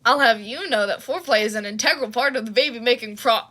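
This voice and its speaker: A woman's voice: on the high side, fast, sharp tone, a little hiss or hum. A woman voicing an exasperated, melodramatic teenage boy who complains, in a fan fiction audio story. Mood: disgusted. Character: exasperated, dramatic, easily disgusted teenage boy.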